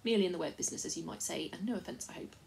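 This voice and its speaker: A woman's voice: lowish voice, very fast, gravelly, darkish tone, a little hiss or hum. A woman voicing the bumbling, long-winded male chief of an invisible folk in an audiobook, speaking in a rustic, folksy way. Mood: wheedling. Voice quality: rustic, rambling, folksy speech.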